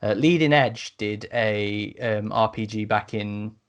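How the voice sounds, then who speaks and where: A man in a casual podcast discussion as a host, speaking a little haltingly and repeating words as he goes. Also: on the low side, clear, resonant tone.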